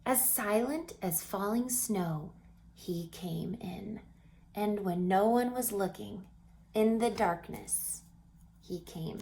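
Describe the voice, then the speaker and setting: A woman reading a story aloud to children in an expressive, dramatic way, with emphatic phrases and hushed moments. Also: medium pitch, takes it slowly, creaky, a little hiss or hum. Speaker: storybook reader.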